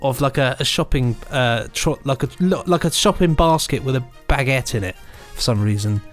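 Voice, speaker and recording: A man's voice: mid-range voice, normal speed, faint music behind. A man with a British accent co-hosting a podcast in casual, jokey, rambling chat. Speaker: podcast co-host.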